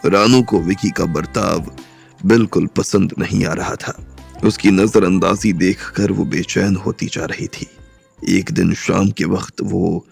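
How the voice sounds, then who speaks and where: A man narrating a romantic crime audio drama in a measured storytelling manner. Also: sits low, steady tone, creaky, husky, faint music behind.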